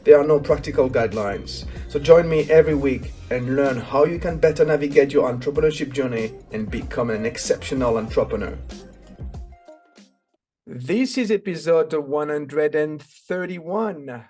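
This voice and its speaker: A man from France hosting a business podcast, reading a scripted intro and then asking relaxed interview questions. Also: on the high side, moderate pace, faint music behind. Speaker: podcast host.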